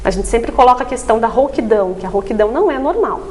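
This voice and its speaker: Woman, slightly high voice, didactic, interview guest, frequent tag questions. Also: animated, very fast. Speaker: voice therapist guest. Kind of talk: explanatory TV interview.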